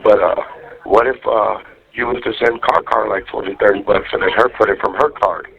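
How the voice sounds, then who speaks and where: A man's voice: lowish voice, steady tone, fairly quick, gravelly. A man talking casually in slangy, coaxing phrases over a recorded jail phone line, as an inmate calling out. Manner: slangy, profane, cajoling. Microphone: telephone.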